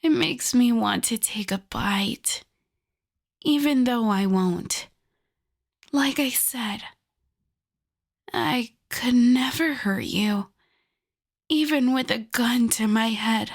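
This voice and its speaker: A woman voicing a possessive, doting yandere wolf girl in an intimate ASMR roleplay, soft and often whispered, sweet with a menacing edge. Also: a little high. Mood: adoring, dreamy. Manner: slow, cooing, lingering over endearments.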